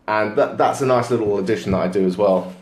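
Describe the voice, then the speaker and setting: A man who is a close-up magician, chatting loudly and easily in an interview and laughing often. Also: on the low side, fast talker, noisy background. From Hong Kong, to British parents. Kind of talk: casual interview chat. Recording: echoey room.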